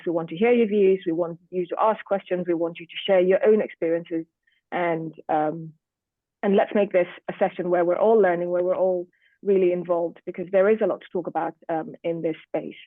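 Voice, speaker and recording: A woman's voice: lowish voice, fairly even intonation, moderate pace, no echo. A woman chairing an online panel in a formal moderating style, heard over muffled, lo-fi call audio. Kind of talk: formal event moderation, addressing an online audience. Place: online video meeting.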